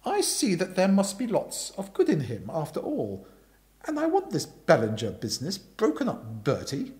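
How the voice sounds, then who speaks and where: A man voicing a bossy, indignant upper-class aunt in a storybook reading, forceful and emphatic. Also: a little high, huge pitch swings, moderate pace. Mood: brisk, commanding.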